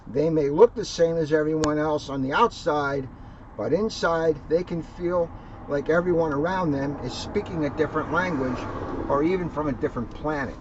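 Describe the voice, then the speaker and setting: A man's voice: a little high, normal speed. A man, a police officer, reading a children's book aloud and explaining it in simple terms. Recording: dull lo-fi sound, faint background noise.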